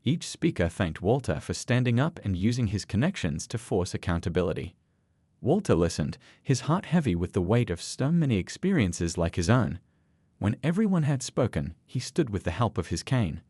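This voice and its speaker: A man reading a scripted, sentimental story in a measured, earnest storytelling manner. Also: on the low side, very animated, mellow, husky, no echo. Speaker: story narrator.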